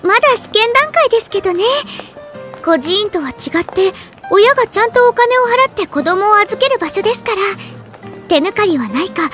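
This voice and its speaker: A woman's voice: very high-pitched, animated, moderate pace, fairly bright tone, soft background music. A woman playing a young girl from a hero's circle of companions in an anime audio drama. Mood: polite.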